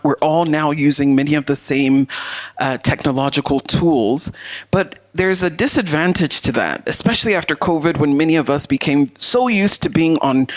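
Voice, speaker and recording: Deep voiced woman doing a career coaching talk to a crowd, emphatic, deliberate. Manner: deliberate, emphatic, uses rhetorical questions and repetition. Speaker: masterclass speaker, leadership coach. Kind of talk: motivational talk to an audience.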